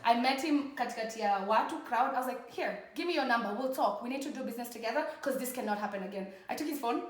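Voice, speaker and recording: Kenyan woman, podcast co-host, playful and chatty, code-switching, higher pitched, roomy sound. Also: fast talker. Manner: playful, animated storytelling and teasing. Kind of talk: casual bilingual chat with code-switching. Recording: echoey room, faint background noise.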